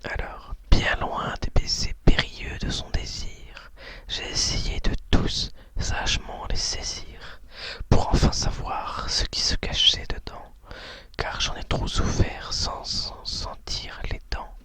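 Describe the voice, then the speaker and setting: A woman whispering softly and breathily in an unhurried, hesitant ASMR style, as host of talk and poetry readings.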